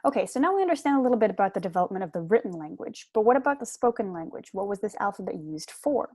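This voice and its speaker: Young female lecturer, explanatory and articulate, medium pitch, dry home recording. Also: very animated, very fast, a little mellow, no echo. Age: young adult. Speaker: lecturer, PhD student. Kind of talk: prepared seminar lecture. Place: small room at home.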